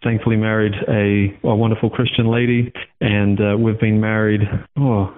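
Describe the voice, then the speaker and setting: A man's voice: fairly low pitch, flat delivery, normal speed. Guest, Australian man, 30s, chatty and rambling, phone-line sound. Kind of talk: casual interview over the phone.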